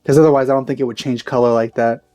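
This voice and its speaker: A man doing casual let's play commentary in rambling asides. His voice is dark and mellow, recorded dry and close, with faint game music underneath. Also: medium pitch, steady tone, racing, no room sound.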